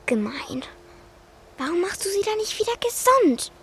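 A woman's voice: extremely high pitch, very animated, normal speed, a bit bright, a little hiss or hum, exaggerated voice. A woman playing a small, curious and defiant daughter in a German children's radio play, blunt and full of questions. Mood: indignant.

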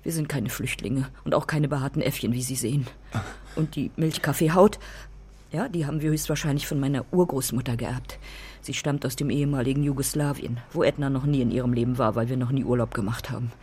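An actress playing a quick-tempered, worried mother from a fairground family in a radio drama, blunt and emphatic. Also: a bass voice, a little mellow, breathy edge. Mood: defensive, bitter. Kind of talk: naturalistic German radio drama acting.

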